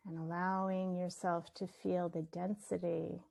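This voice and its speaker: A woman leading a guided meditation, speaking gently and leaving long pauses between her phrases. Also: on the low side, flat delivery, vocal fry. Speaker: meditation teacher.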